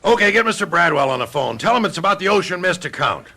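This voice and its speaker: An actor playing a brisk, bossy advertising office boss with a rough voice in a radio drama. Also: male voice, high voice, sing-song, pushed and bright, heavily acted. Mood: puzzled, brisk.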